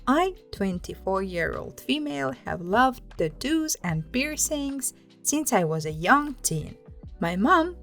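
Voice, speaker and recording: A woman narrates a scripted story in a fairly high voice. Her intonation is very animated, rising and dropping often. Light music plays behind her reading. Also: takes it slowly. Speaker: host reading online stories aloud.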